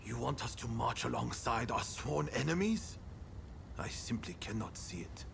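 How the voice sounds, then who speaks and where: An actor playing a stern, wary samurai clan leader with a grave, formal manner in a video game cutscene. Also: male voice, medium pitch, sing-song, moderate pace, gravelly, a bit bright, slight background noise, full character voice. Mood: wary disbelief. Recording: music behind the voice.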